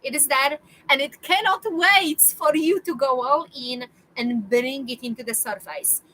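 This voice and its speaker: A woman's voice: high voice, a little slow, pushed and bright, a little hiss or hum, rough recording. A woman, giving a motivational talk to her viewers and repeating phrases for emphasis. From Poland. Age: 40s. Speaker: spiritual coach.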